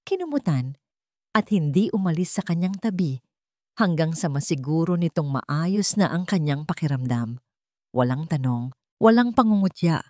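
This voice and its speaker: Low-voiced Filipina narrating a romance story. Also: female voice, warm tone, no room sound, studio quality.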